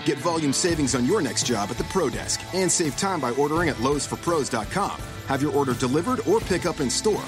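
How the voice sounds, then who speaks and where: A man reading a scripted radio commercial in an upbeat, steady announcer delivery. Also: on the high side, fairly quick, hardly any pauses, over music.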